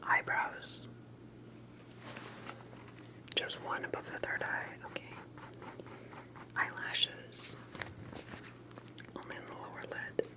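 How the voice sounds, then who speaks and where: A woman's voice: slow, pauses often. Woman whispering an ASMR interview roleplay. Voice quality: soft breathy whisper. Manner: gentle, careful questioning with murmured confirmations.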